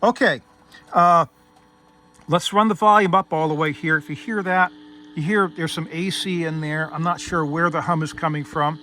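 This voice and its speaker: Bright, higher-pitched man giving a hands-on demo. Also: fairly even intonation, normal speed. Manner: methodical, step-by-step explaining. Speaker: host demonstrating vintage radio gear. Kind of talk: casual hands-on technical demonstration.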